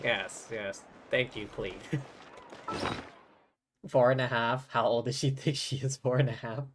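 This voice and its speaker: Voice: mid-range voice, a little slow, background noise. A streamer chatting casually and a little hesitantly while playing a game, in an easygoing way. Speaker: streamer, host. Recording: music playing behind the voice. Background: game music.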